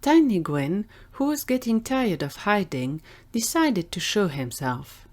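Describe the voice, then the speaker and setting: A woman's voice: medium pitch, sing-song, unhurried, a little mellow. A woman reading scripted narration for an audio drama.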